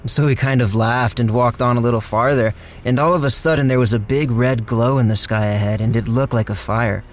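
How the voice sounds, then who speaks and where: A man's voice: medium pitch, fairly even intonation, fairly quick, lightly acted. An actor playing an easygoing handyman with a folksy, rambling way of telling his shady story in a radio drama. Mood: reflective, plainspoken. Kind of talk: radio drama first-person narration and dialogue.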